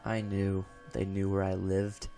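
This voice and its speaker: A man playing a haunted college professor unraveling into paranoid dread, narrating a horror audio drama in a formal, deliberate, theatrical manner. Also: deep voice, monotone, creaky. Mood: uneasy, haunted. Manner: formal, deliberate, theatrical, swelling from hushed to frantic. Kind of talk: first-person gothic horror audio narration. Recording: music behind the voice.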